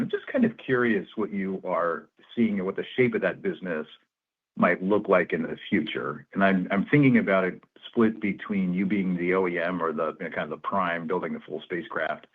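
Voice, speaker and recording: A man's voice: low voice, husky. A man speaking as a financial analyst, putting conversational questions on an earnings call over a telephone. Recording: narrow tinny phone line.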